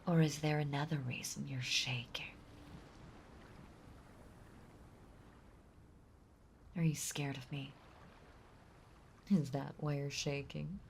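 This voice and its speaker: A woman playing a possessive, playful yet menacing mermaid in an intimate roleplay audio drama, speaking in a soft, breathy, halting and teasing way. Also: low voice, fairly even intonation, a little hiss or hum. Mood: concerned, probing. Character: possessive yandere mermaid, playful, sweetly menacing young female. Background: ocean ambience sound effects.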